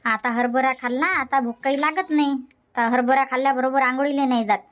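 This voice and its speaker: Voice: sits high, steady tone, brisk, a bit bright. A voice actor voicing a fussy older village woman with a stomach ache, speaking in a dubbed cartoon comedy, grumbling and self-pitying.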